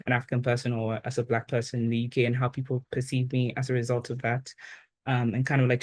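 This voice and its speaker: A woman in her twenties from Ghana, with an accent that blends Ghanaian and British, reflecting thoughtfully as an interview guest and often pausing on "like" and "um". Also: rumbling low, darkish tone. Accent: blend of Ghanaian and British, now neutralized. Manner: thoughtful, with many "like" and "um" fillers.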